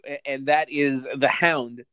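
A podcast co-host chatting casually about a movie, incredulous and animated, stammering when excited. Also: medium pitch, slow pace, background noise. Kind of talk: casual movie recap chat.

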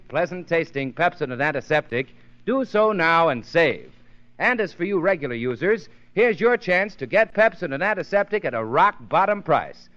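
A man's voice: sits high, fairly bright tone. A man working as announcer and sidekick on a 1930s radio comedy show, heard on a muffled old recording. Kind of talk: 1930s radio sponsor announcing and scripted banter.

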